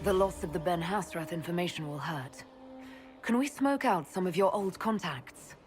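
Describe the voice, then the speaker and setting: A woman's voice: lowish voice, lively intonation, normal speed, soft background music, close to an everyday voice. An actress playing a female elf mage leader, firm, caring and direct, in a video game cutscene. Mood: businesslike. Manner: direct, earnest.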